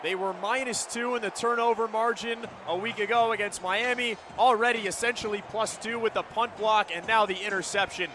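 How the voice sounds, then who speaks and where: American male football analyst, fairly high voice. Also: steady tone, brisk. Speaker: radio color commentator. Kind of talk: live football analysis between plays. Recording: clear stadium noise behind broadcast commentary. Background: outdoor stadium ambience.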